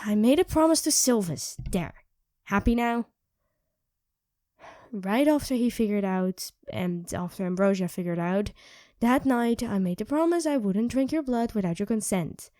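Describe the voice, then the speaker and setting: A woman voicing an irritable young female vampire who craves blood, speaking in a sharp, sarcastic way and often dropping to a whisper. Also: mid-range voice, animated, unhurried, dry recording, studio quality, close to an everyday voice. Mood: craving. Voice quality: drops into soft whispers. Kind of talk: ASMR roleplay.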